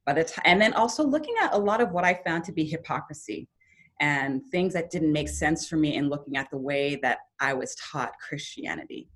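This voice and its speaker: Low-voiced woman telling her story. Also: a little fast. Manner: reflective, earnest, lively when quoting her younger self. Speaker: podcast guest. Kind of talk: personal storytelling in a conversation.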